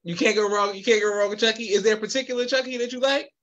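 Bright, upbeat podcast host man. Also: high voice, fairly even intonation, racing, highs cut off. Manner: upbeat, laughs often, repeats "you can't go wrong". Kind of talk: casual interview over video call.

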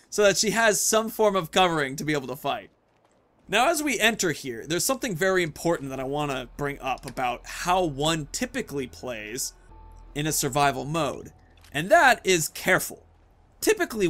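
A man's voice: a little high, pushed and bright. A man in his thirties giving casual commentary as the host of a gaming video.

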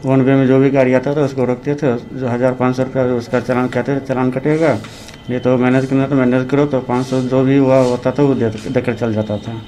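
A man flatly explaining himself to questioners, heard on a distant, lightly noisy recording. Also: mid-range voice, fairly quick.

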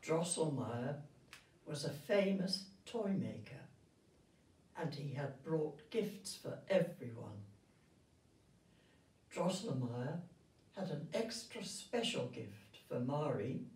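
An elderly woman reads a children's story in a gentle, grandmotherly manner. Her pace is slow and deliberate, and her pitch rises and falls in a lively way. She is recorded at some distance in a roomy indoor space. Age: 70s or older. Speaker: retirement village resident reading a story. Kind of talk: storybook read aloud to children. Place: indoor room with festive backdrop. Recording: roomy sound, distant microphone.

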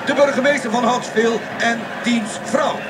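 An actor playing an excitable male radio reporter giving pompous, florid live commentary in a radio drama. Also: very high voice, moderate pace, loud music behind the voice. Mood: proud, festive. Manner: declamatory, florid, repeats himself. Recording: noisy radio drama, outdoor street ambience.

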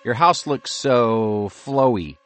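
A man voicing a sensible, light-sleeping female pet squirrel who talks in a chirpy, gentle way. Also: high-pitched, sing-song, fairly quick, slight background noise, voice pushed up.

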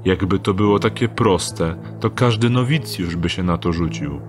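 An actor playing a skeptical male rogue in an audio drama, measured and dry in his speech. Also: low voice, close to an everyday voice. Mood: wary. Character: wary young male rogue Dieter, dry and calculating. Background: music.

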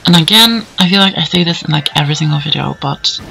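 A hoarse man giving casual, rambling commentary over gameplay, with plenty of fillers. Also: on the high side, fast, sharp tone, slight background noise, low-quality recording. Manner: rambling, easygoing, many fillers. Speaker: let's play commentator.

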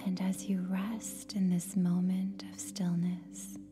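A woman with a soft, breathy, near-whispered voice guides a meditation in a soothing, gentle way, leaving long pauses between phrases. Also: on the low side, monotone. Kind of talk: guided meditation, scripted narration. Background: meditation music with singing bowl tones.

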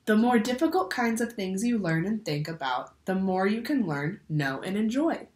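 A young adult woman reading a picture book aloud to children in a deliberate, instructive way. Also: mid-range voice, expressive, darkish tone. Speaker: teacher or librarian reading to children. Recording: echoey room.

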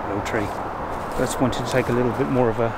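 A man's voice: mid-range voice, steady tone. A middle-aged man in his fifties, chatting casually and musing aloud while walking outdoors, filmed on a handheld camera at arm's length. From England. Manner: chatty, rambling, pauses to muse. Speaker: vlogger. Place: outdoors by a country churchyard near a motorway. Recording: loud traffic noise behind.

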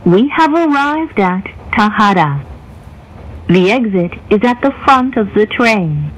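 Female announcer, mid-pitched, extremely slow and deliberate, formal in-car train announcements. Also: very animated, rough recording.

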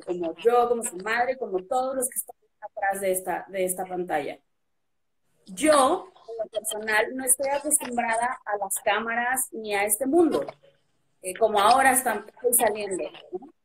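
A woman in her forties with a high voice, speaking earnestly on a webcam video call. She is measured and emphatic and leans on her key words. The delivery is heartfelt. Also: rough recording. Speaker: guest on a live stream. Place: room with a blue backdrop.

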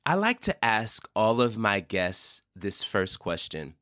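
A man hosts an interview podcast, speaking slowly in a lowish voice that rises and falls animatedly. The sound is dry and close. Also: no room sound. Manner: lively intonation, supportive listener. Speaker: podcast host.

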